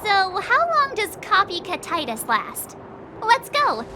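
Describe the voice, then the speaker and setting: A woman's voice: very high voice, huge pitch swings, slow pace, background noise, full character voice. A woman voicing a perky, cocky teenage girl adventurer in an anime-style game, chirpy, breezy and teasing. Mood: cocky, upbeat.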